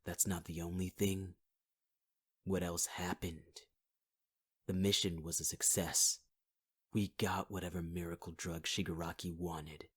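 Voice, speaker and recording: Male actor as a scarred, possessive villain: very low, husky, taunting half-whisper, dry close mic. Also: little pitch movement, unhurried, pauses often, no echo. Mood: scornful. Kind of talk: ASMR audio roleplay.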